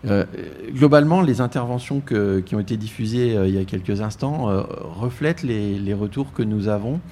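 A man with a deep, warm voice talks through a live radio interview about his tourist office. He hesitates and restarts often, and a light hiss sits under the recording. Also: normal speed. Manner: hesitant, many fillers and restarts.

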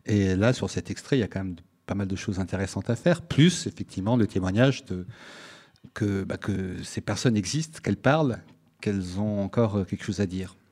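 A man whose mother tongue is Italian, answering as a guest musician on a live radio show. His voice sits fairly low, and he talks haltingly, restarting sentences and filling pauses. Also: a little mellow. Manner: hesitant, many restarts and fillers.

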